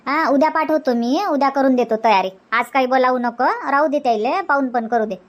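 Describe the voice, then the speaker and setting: Cartoon village aunt, acted by a woman: high voice, Varhadi Marathi accent, bossy and hospitable, nags by repeating herself. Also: brisk, close to an everyday voice. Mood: firm, scolding. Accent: Varhadi Marathi from Vidarbha. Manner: insistent, repetitive, scolding. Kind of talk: Marathi cartoon comedy dialogue.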